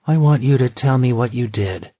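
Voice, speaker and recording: A man's voice: mid-range voice, normal speed, dry recording, highs cut off, lightly acted. A man voicing a furious, grieving, betrayed wife who speaks with intense accusation. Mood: quietly accusing.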